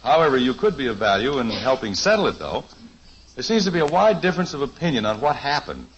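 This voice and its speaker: Actor doing dry wry dad, animated voice, dull hissy old radio. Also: male voice, mid-range voice, fast, natural voice. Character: wry, steady father. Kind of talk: 1950s radio sitcom acting. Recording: dull old radio recording, faint hiss.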